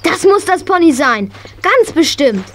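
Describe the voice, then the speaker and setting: Eager, very high-voiced schoolgirl. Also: very animated, measured pace, heavily acted. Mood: excited. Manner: gushing, tender, enthusiastic. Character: eager, affectionate horse-loving schoolgirl. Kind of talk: German children's radio play acting.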